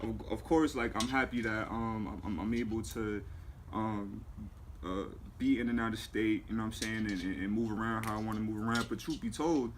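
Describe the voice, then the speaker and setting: Laid-back man in his twenties, interview guest. Relaxed and rambling, with faint room hiss. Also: on the low side, moderate pace. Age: 20s. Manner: laid-back, repeats "you know what I'm saying", lots of fillers. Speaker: music manager. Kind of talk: casual interview. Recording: faint background noise.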